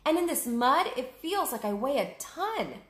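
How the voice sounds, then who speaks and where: A woman voicing a plucky, excitable young girl in peril for a children's storybook, exclaiming urgently. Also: high-pitched, measured pace, echoey room, voice pushed up, exaggerated voice. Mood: exasperated. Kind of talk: children's storybook read-aloud.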